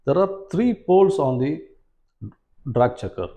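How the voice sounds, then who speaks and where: Man, forties, soft mellow tone, measured explaining, instructional demonstration, indoor room. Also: on the high side, very animated, highs cut off. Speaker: fishing tutorial presenter. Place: small plain room indoors, seated at a table.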